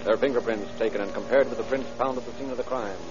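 A man's voice: mid-range voice, steady tone, fast, noisy background, lightly acted. An actor narrating a vintage radio crime drama as a solemn man with an urgent, dramatic announcer delivery. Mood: urgent, grave. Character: solemn male narrator of a police case. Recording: dull old radio recording, music behind. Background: loud dramatic music.

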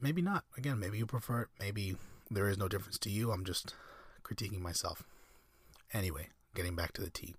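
A man hosting a relaxation podcast, rambling in a soft, hesitant way with lots of restarts, slightly raspy from a lingering cold. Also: low voice, no room sound, clean studio sound. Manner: meandering, hesitant, frequent restarts and fillers. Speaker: podcast host. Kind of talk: soft-spoken ASMR ramble.

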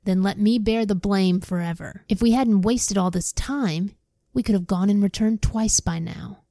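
Insistent grown son, read by a woman pleading his case; mellow, dry. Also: medium pitch, moderate pace, no echo, close to an everyday voice. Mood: earnest.

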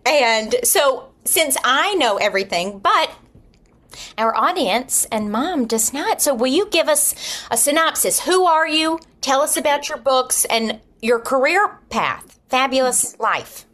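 A woman's voice: high-pitched, animated, a little slow, fairly bright tone. A woman hosting a podcast interview in an effusive, gushing manner. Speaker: podcast host. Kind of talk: scripted introduction, then casual interview chat.

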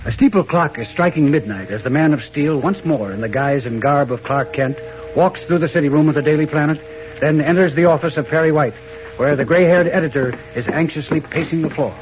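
An actor as the urgent narrator of a 1940s radio serial, delivering scripted lines in a dramatic, emphatic style. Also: male voice, fairly high pitch, a little fast, close to an everyday voice. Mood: dramatic, suspenseful. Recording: muffled, noisy old radio recording.

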